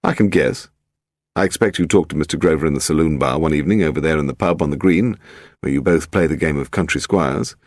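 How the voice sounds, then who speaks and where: A man voicing an urbane, unflappable English gentleman detective in a crime story, teasing and assured. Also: rumbling low, fast, no echo, clean studio sound.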